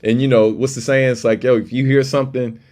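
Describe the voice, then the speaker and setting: A man with a deep voice hosting a casual livestream chat, laid-back and slangy, full of shout-outs. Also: flat delivery, fast talker. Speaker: livestream host.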